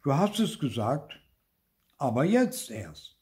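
A man's voice: mid-range voice, sing-song, takes it slowly, darkish tone, pitched above the performer's own voice. A man playing a small child, a doubting and argumentative younger sibling, in a children's story. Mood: grudging. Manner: indignant, sceptical, persistent.

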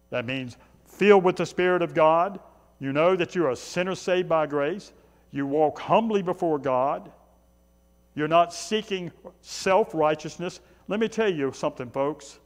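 A pastor in his sixties delivers a sermon in a fairly high male voice. He drives points home with short, repeated phrases, then drops into conversational asides. The church around him adds a long reverb. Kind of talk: sermon, preaching. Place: small church sanctuary, indoors.

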